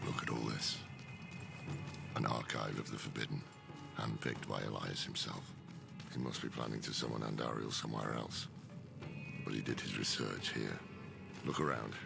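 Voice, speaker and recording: A grave scholar in a video game, with an extremely deep voice thick with gravel. He speaks in a solemn, deliberate way. Loud music swells beneath him. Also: fairly even intonation, full character voice. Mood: grave, focused. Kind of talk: dramatic video game dialogue.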